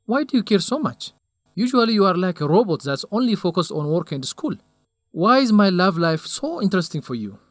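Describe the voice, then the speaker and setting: An actor playing a probing, excitable male friend in a radio drama. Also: sits high, fairly quick, no room sound. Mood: teasing, probing.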